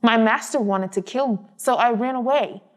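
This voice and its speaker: Woman voicing a slow, sad old dog. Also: on the high side, close to an everyday voice. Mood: sad, weary. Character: big old weak dog, male, sad and sorrowful.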